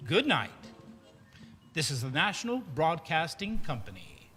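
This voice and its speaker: A man's voice: on the high side, sing-song, a little slow. A man playing a polished, eager sponsor announcer in an old-time radio show. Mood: upbeat, formal. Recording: music behind a live performance.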